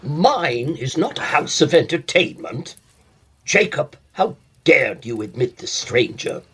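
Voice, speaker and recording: A man's voice: fairly high pitch, sing-song, takes it slowly, a bit bright, husky, full character voice. A man playing a stern, reclusive scholar in a period audio drama, formal and imperious with a stiff courtesy. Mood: indignant. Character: stern, reclusive scholarly master of a lonely house.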